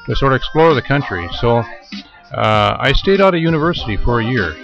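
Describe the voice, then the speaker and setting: A man's voice: mid-range voice, lively intonation, creaky. A man in his fifties who grew up in Japan, a radio interview guest telling long, thoughtful stories. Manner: unhurried, thoughtful storytelling. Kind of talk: casual interview. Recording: muffled lo-fi radio recording with music behind.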